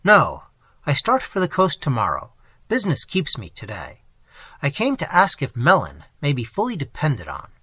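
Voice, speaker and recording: A man voicing a bold gentleman highwayman captain who gives crisp, commanding orders. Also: wildly swooping pitch, moderate pace, dry recording, full character voice. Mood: brisk.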